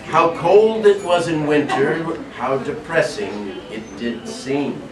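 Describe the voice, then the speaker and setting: An older man reading a poem aloud at a social gathering, with a deliberate, halting delivery. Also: on the high side, animated, noisy background. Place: indoors, large room at a social gathering. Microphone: distant camera microphone. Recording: echoey crowded room, distant microphone. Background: people chattering and laughing.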